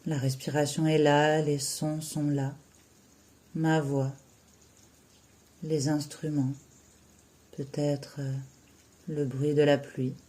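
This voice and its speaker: Slow, mellow-voiced guided relaxation teacher. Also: mid-range voice, flat delivery, rough recording. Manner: soothing, unhurried, with long pauses between short phrases. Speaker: yoga nidra teacher.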